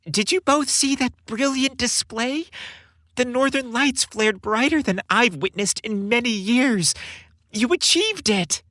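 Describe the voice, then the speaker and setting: Guy doing excited motherly older lady, way high voice, gushing, storybook xmas tale. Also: a little slow, no room sound, studio quality, exaggerated voice. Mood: jubilant, delighted. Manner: gushing, exclamatory. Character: jubilant, motherly older woman, Mrs. Claus.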